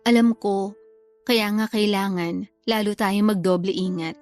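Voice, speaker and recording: A woman's voice: mid-range voice, fairly even intonation, a little slow, a little mellow, faint music behind, close to an everyday voice. A woman acting a teasing, guilty lover in a secret affair, with a Filipino accent, in a radio love drama. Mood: worried.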